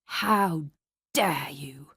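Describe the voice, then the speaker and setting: A woman's voice: deep voice, extremely slow, bright, forceful tone, breathy edge, dry recording, clean studio sound, voice pushed down, heavily acted. A woman playing a jealous, faded mother in a radio drama, speaking in bitter, scornful accusations. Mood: bitter fury.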